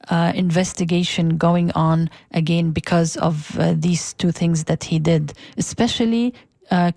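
Mellow gravelly woman co-hosting talk radio. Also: fairly low pitch, dry recording, clean studio sound. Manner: halting, many fillers when reading quotes. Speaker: radio talk show co-host. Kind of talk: casual political talk radio discussion.

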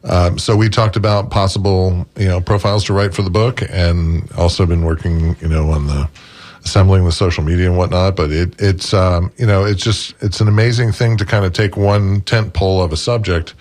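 Male co-author guest, deep pitch, casual conversational delivery. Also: steady tone, normal speed, a little mellow. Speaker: co-author guest, profile writer. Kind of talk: casual interview talk.

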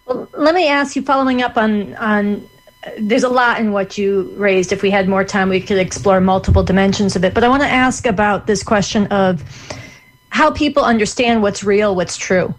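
A woman's voice: mid-range voice, normal speed, rough recording. A woman interviewer asking a long, thoughtful question, with some restarts as she frames it. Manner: thoughtful, searching phrasing. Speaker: interviewer, host.

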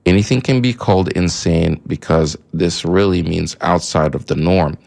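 A man from Egypt, Arabic his first language, hosts a call-in radio show. His voice sits very low with a gravelly fry. He rambles, backtracks and leans on fillers as he talks things through. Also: normal speed, darkish tone. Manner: rambling, many fillers and restarts.

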